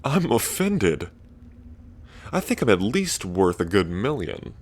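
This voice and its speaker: A man's voice: lowish voice, very animated, slow pace, exaggerated voice. A man playing an ancient dragon king in human form, smug and teasing, with a drawling, taunting delivery in a roleplay ASMR. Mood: curious, wry.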